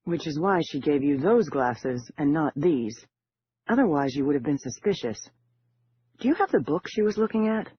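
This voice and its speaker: A man voicing a sly, confident woman con artist in an audiobook, with a husky tone. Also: on the high side, expressive, normal speed, slight background noise, natural voice. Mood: cool, probing. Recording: dull lo-fi audio.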